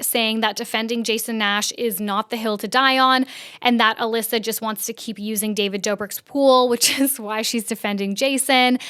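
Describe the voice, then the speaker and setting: Female podcast host for casual online news commentary; higher-pitched, crisp resonant voice, informal, chatty delivery with emphasis. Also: a bit bright.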